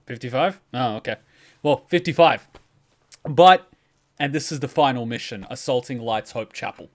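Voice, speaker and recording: A man's voice: medium pitch, normal speed. A man hosting a game reaction video, explaining step by step in casual, conversational commentary.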